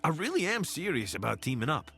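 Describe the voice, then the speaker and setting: An actor playing an affable, curious wasteland scavenger in a video game, casual, wry and eager to team up. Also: male voice, fairly high pitch, huge pitch swings, fast talker, vocal fry, a bit bright, soft background music, full character voice. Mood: persuasive. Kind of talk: video game companion dialogue.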